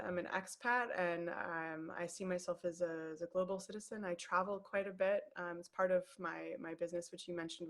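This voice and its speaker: A woman in her late thirties with an American Pacific Northwest accent, talking as an interview guest in a warm, reflective way. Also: on the low side. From United States, Seattle. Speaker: guest, emotional intelligence coach. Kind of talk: conversational interview.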